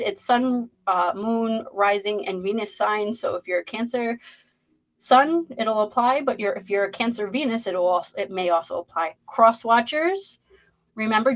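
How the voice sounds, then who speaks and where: A woman's voice: mid-range voice, a bit bright, low-quality recording. Boston lady doing a live zodiac reading, rambly, lots of ums, talks to the chat. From Boston, USA. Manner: rambling, many fillers, greets chat viewers. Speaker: tarot reader hosting a livestream. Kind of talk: casual livestream chat.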